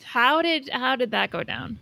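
A woman co-hosting a casual podcast, low-key and informal, sounding a little sleepy and under the weather. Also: animated, unhurried, vocal fry, sharp tone, no echo, studio quality. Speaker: podcast co-host.

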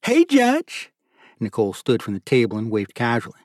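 A man's voice: lowish voice, flat delivery, quick pace, no room sound. Male audiobook narrator, dry close recording. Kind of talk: scripted literary fiction narration.

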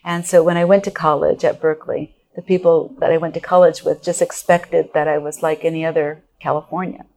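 A middle-aged woman from Japan with a low voice, giving long answers as a guest expert in an interview. She explains patiently, restarting phrases and checking in with "you know" as she builds her point. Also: level tone, a little fast, darkish tone. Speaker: guest expert on organizational culture.

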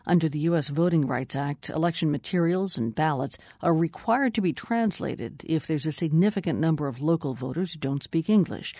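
Male news host, smooth scripted read, dry studio sound. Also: a little high, no room sound. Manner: smooth steady news delivery.

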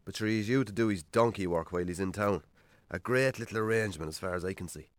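An actor playing a defensive, hard-drinking Irish bachelor farmer in a naturalistic radio drama, colloquial and dryly sarcastic. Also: male voice, deep voice, fast talker, no room sound, natural voice. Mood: bitter, sarcastic.

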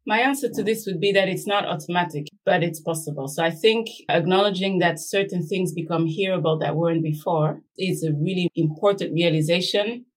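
Woman, middle-aged, slightly low voice, reflective academic guest, conversational storytelling. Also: a little fast, few pauses, rough recording. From Belgium. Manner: reflective, anecdotal, checks in with "right?".

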